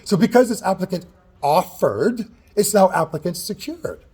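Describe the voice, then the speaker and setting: A man in a heated in-person complaint at a city office, loud and agitated, talking over others and swearing, caught on a hand-held recording. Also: high-pitched, lively intonation, moderate pace, slightly rough. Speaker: tenant. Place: city hall office, indoors.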